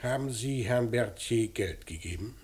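An actor playing a probing, businesslike police inspector in a mid-century radio crime drama, asking short, clipped questions. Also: male voice, medium pitch, animated, a little slow, darkish tone, close to an everyday voice. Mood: probing, insistent. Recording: noisy old radio drama recording.

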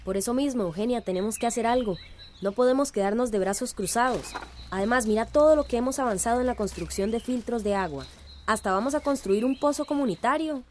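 An actress playing a determined, indignant community activist in a radio drama, earnest and urgent. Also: female voice, on the high side, fairly quick, a little mellow, a little hiss or hum, natural voice.

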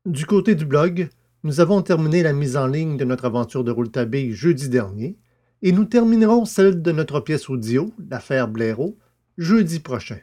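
A man hosting a podcast and reading a novel aloud in a measured, deliberate way. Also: fairly high pitch, animated, dark tone. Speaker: podcast host and narrator. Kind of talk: scripted audiobook narration.

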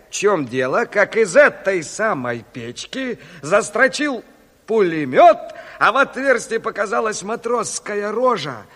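A man's voice: sits high, fairly bright tone, heavily acted. An actor playing a man who tells a battle tale in mock-serious, theatrical Soviet radio drama style. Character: man comically retelling an enemy battle report. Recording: reverberant studio of 1970s radio play.

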